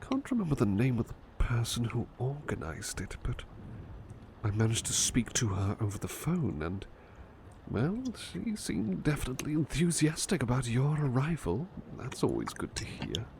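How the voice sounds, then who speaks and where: A man playing a gentle, devoted butler in an intimate ASMR roleplay, soft-spoken and often slipping into whispers, polite and a little hesitant. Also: mid-range voice, wildly swooping pitch, normal speed, creaky, slight background noise, low-quality recording. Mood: polite, chatty. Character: devoted, gentle, flustered male butler attending his beloved.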